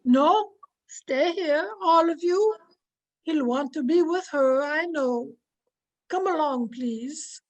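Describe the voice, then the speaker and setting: An actress as a high-pitched, solemn, devoted woman. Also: poor audio. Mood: gently firm. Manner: solemn, deliberate. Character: devoted family woman, solemn, grieving, gently commanding. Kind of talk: old-time radio play acting.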